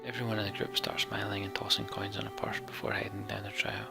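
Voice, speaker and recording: A man narrating a fantasy game's text like a storybook, in a hushed, theatrical manner. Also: fairly low pitch, very animated, quick pace, creaky, whispered, loud music behind the voice. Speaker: let's play narrator.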